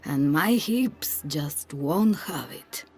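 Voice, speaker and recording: A woman playing an aging village aunt in a video game, fussy and affectionate, with a measured, sighing, gently chiding way of talking. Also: deep voice, lively intonation. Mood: wistful. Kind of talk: cinematic video game acting. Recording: music behind the voice.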